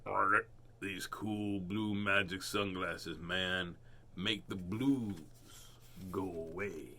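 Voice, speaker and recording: A grumpy toad from a storybook, drawling low and slow with a growl, as a man reading aloud puts him on. Unhurried and gravelly-laid-back. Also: fairly even intonation, sharp tone, a little hiss or hum, full character voice. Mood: mellow.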